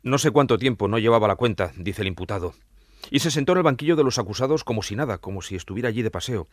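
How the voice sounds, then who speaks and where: A man's voice: medium pitch, lively intonation, fast, no room sound, studio quality. A man presenting a true-crime podcast with scripted documentary narration.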